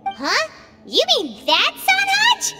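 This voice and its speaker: A squeaky, tiny fairy companion. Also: female voice, huge pitch swings, takes it slowly, in a large hall, loud music behind the voice, exaggerated voice. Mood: curious, surprised. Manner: squeaky, exclamatory, wide-eyed. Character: tiny childlike fairy companion, chirpy, curious. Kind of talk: scripted video game dialogue.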